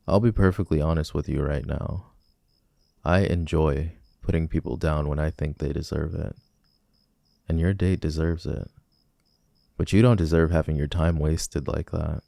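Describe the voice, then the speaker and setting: A man's voice: a bass voice, warm tone, no room sound, clean studio sound. A man playing a smug, possessive villain who flirts intimately and teasingly with a civilian in a romantic ASMR roleplay. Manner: teasing, smooth-talking, intimate.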